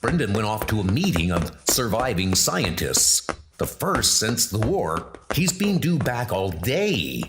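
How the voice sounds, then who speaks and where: A man narrating a comic adventure in a deliberate, portentous style. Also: on the low side, very animated, noisy background. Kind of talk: dramatic scripted narration.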